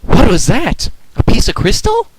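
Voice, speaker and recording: A man's voice: very high voice, moderate pace, slight background noise, voice pushed up, heavily acted, rough recording. A man voicing an eager young male space pilot hero who exclaims in alarm. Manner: excitable, exclaiming.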